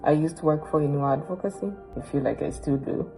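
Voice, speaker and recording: Low, gravelly-voiced woman. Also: fast, dark tone, faint music behind, low-quality recording. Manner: plain, direct self-introduction. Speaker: former refugee. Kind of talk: recorded personal introduction.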